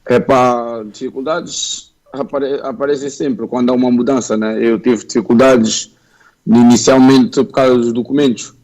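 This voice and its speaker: Young footballer, mellow flat voice. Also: male voice, medium pitch, normal speed, low-quality recording. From Angola, raised in Portugal. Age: young adult. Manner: polite, modest, plain-spoken. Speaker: footballer guest. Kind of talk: interview answers.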